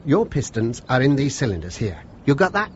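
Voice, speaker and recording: Radio play, dull noisy recording: plain-spoken, good-natured male chauffeur played by an actor, animated delivery. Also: mid-range voice, a little fast, close to an everyday voice. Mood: instructive, firm. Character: down-to-earth, good-natured, blunt working-class male chauffeur. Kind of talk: British radio play acting.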